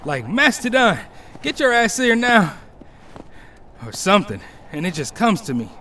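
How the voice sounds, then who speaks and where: A man's voice: sits high, big pitch swings, moderate pace, pushed and bright, a little hiss or hum, full character voice. A man playing an exasperated young hero in an audio drama, grumbling sarcastically to himself. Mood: frustrated. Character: exasperated young male hero alone on a quest.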